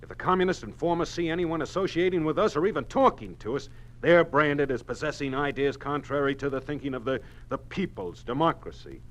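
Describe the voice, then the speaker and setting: An actor playing a distraught middle-aged American husband and plant manager in a 1950s radio drama, agitated and halting. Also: male voice, a little high, lively intonation, close to an everyday voice. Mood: agitated, grave. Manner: halting, agitated delivery, repeating words.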